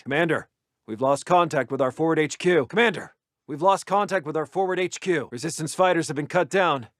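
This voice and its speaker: A man playing a military intelligence officer in a video game, briefing his commander in a crisp, formal, scripted delivery. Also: on the high side, a bit bright, no echo, studio quality. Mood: grave.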